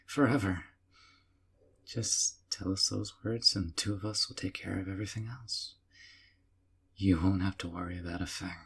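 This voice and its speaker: A man playing a deep gravelly possessive captor. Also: moderate pace, pauses often, exaggerated voice. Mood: sweet, possessive. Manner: calm, hushed, sweetly possessive. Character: doting obsessive young man captor. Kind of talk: audio roleplay.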